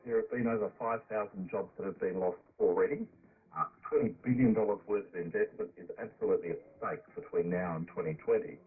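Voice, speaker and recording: A husky-voiced man speaking as an industry spokesperson in unscripted remarks, relayed over shortwave radio. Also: fairly low pitch, fairly quick. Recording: muffled narrow-band shortwave radio clip.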